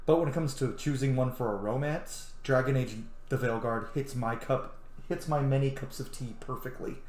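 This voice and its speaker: A man's voice: mid-range voice, moderate pace, darkish tone. A man giving casual gameplay commentary, gushing and opinionated, swearing freely as he goes. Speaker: gamer commenting on a playthrough. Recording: roomy echo, faint background noise.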